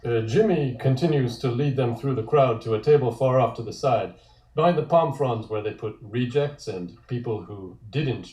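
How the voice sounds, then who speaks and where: A man's voice: mid-range voice, warm tone. A man reading a comic script aloud as narrator. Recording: echoey room.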